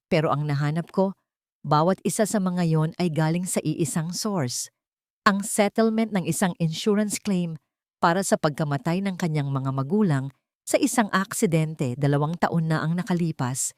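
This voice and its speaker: A woman plays a shrewd male detective reporting respectfully to his boss in an audio drama. The voice, very mobile pitch and a dry sound. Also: darkish tone, dry recording, lightly acted. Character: shrewd, deferential male private investigator.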